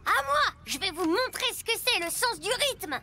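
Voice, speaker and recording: A woman voicing an eager, playful child mini-truck, excitable and cheerful, in a children's cartoon. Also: very high voice, moderate pace, full character voice. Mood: confident. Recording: loud music behind the voice.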